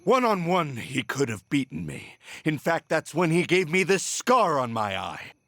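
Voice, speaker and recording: An embittered villain of a video game, a middle-aged false father consumed by obsession. He speaks slowly and theatrically, with big swings in pitch and a taunting edge, recorded close and dry. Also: sing-song, gravelly, a bit bright, dry recording, full character voice. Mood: bitter, gloating. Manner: theatrical, taunting, drawn-out delivery. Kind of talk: dramatic video game voice acting.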